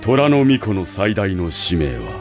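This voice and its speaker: A man playing a calm, scheming school director, measured and formal, in an anime audio drama. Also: sits low, soft background music. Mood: calm, calculating. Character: calm, calculating male school director with secret plans.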